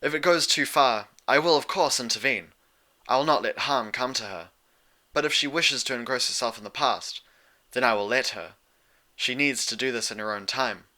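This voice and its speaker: A man voicing a regal, patient elder-sister pony princess with measured, formal, guarded speech in a storybook reading. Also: mid-range voice, bright, forceful tone, voice pushed up, lightly acted. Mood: resigned. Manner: measured, formal, reflective phrasing.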